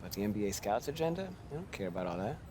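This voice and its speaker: A man's voice: lowish voice, sing-song, racing, noisy background. A man acting a blunt, streetwise American basketball mentor in a game cutscene, firing rhetorical questions with emphasis. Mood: blunt, challenging. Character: seasoned basketball mentor, blunt, preaching hard realism to youngster.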